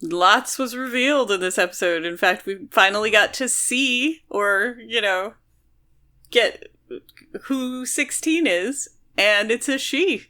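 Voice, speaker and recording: A woman's voice: fairly high pitch, lively intonation, slow pace, sharp tone. A woman co-hosting a podcast, talking casually about a book in a chatty, explanatory and emphatic way. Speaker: podcast co-host.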